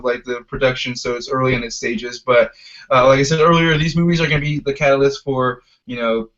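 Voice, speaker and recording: A man's voice: medium pitch, fairly bright tone. Man hosting, in dull lo-fi audio. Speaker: podcast host. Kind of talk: casual panel discussion.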